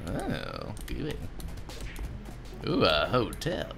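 A man's voice: fairly low pitch, wildly swooping pitch, extremely slow, creaky. A man in his thirties giving casual, muttered, profane video game commentary. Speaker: video game streamer voicing over a game. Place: small home room. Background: game music.

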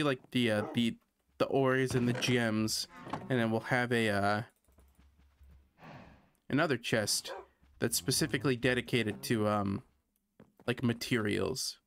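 A man from Canada streams a sandbox game and talks through every step as he goes. His voice sits at an ordinary mid pitch, and he thinks aloud in a relaxed, self-mocking way. A faint hiss runs under the home recording. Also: unhurried, long pauses. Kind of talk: casual gameplay commentary.

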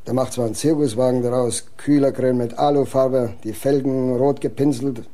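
An actor playing a plain-spoken, resigned truck driver grumbling colloquially in a radio play, with a gravelly voice. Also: male voice, mid-range voice, fairly even intonation, moderate pace, dark tone, close to an everyday voice. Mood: resigned, skeptical. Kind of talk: German radio drama acting.

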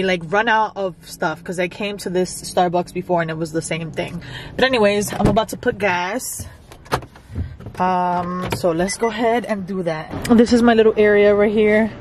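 A young woman in her twenties talking casually to the camera while driving, full of "like" and "um". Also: medium pitch. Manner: chatty, filler-heavy talk. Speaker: vlogger. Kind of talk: casual chat. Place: inside a car. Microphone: camera on the dashboard. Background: car and road noise.

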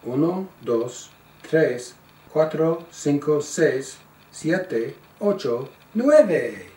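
An older man, around seventy, reading a children's picture book aloud in an expressive, singsong and playful way. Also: a little high. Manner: slow, singsong, playful calls with quieter asides. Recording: roomy, a little far from the mic.

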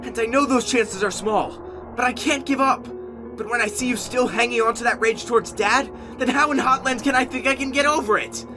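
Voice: medium pitch, music in the background, close to an everyday voice. A performer voicing a young male goat monster, earnest and haunted by guilt, in an amateur comic dub. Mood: angry, anguished. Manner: earnest, emotionally volatile, halting when ashamed.

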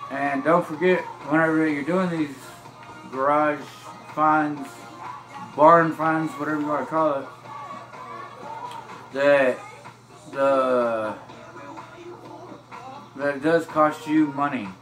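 Slow, relaxed man. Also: fairly high pitch, poor audio. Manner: relaxed, rambling, drawled, says y'all. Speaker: gameplay tips narrator. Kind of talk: casual gameplay walkthrough. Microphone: phone filming a TV screen. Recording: game music playing behind.

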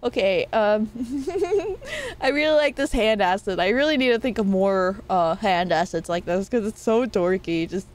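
A young woman streamer with a nasal voice, chatting casually and playfully with her viewers. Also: medium pitch. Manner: rambling, playful, quick laughs and swearing. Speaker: VTuber streamer.

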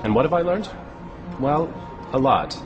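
A middle-aged American man presenting a documentary in an earnest, measured way, speaking to camera in a studio. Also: mid-range voice, animated, highs cut off. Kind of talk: scripted documentary narration. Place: photo studio, indoors. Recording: music bed underneath.

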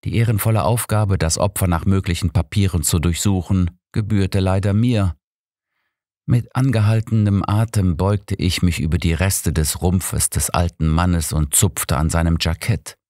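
Deep-voiced audiobook man. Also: steady tone, moderate pace, a little mellow, no room sound, studio quality. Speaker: audiobook narrator. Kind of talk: scripted first-person mystery narration.

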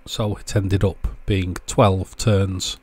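A man narrating a hands-on electronics demonstration as host, explaining step by step in a measured way. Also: sits low, level tone, a little mellow. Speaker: host of an electronics repair channel.

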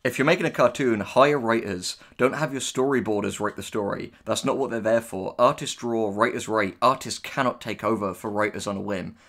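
A man's voice: on the low side, a little fast, few pauses, fairly bright tone. Man in casual, emphatic discussion. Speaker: writer co-hosting a reaction discussion. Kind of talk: casual opinionated chat.

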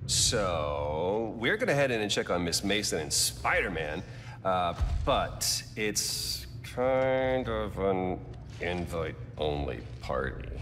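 An actor playing a smug, scheming male corporate villain in a video game, talking in a smooth, condescending, casual way. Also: low-pitched, a bit bright, a little hiss or hum, full character voice. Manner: casual, taunting, drawn-out pauses. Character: smug scheming male tech CEO, middle-aged. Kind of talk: video game cutscene acting. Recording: game audio with long reverb and faint ambience.

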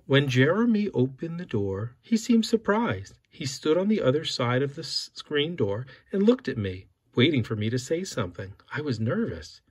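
Man, father reading picture book aloud at home, animated pitch, slightly husky. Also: moderate pace, few pauses. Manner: lively storybook reading, voicing the characters' quoted lines. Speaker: father reading to his son.

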